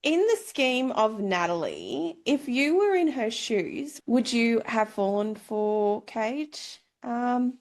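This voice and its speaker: A woman's voice: on the high side, big pitch swings, slow, hardly any pauses, a little mellow. A woman co-hosting a casual chat show, bantering in a cheeky, candid and playful way. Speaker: book club podcast co-host.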